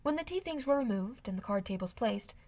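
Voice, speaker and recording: A woman's voice: on the high side, lively intonation, brisk, darkish tone. A woman reading a classic novel aloud as scripted audiobook narration. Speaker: amateur audiobook reader.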